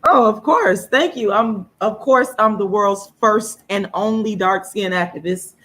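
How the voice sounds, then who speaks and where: A woman with a hoarse voice speaking emphatically as an activist guest, repeating phrases for stress. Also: mid-range voice, measured pace, a bit bright. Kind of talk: impassioned monologue in an interview.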